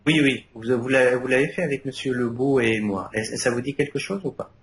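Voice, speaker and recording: Older male academic, Arabic native, halting delivery full of fillers, recorded in a reverberant room with light background noise. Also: medium pitch. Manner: hesitant, many fillers and restarts. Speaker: thesis jury member. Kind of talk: academic thesis feedback. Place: university defense room.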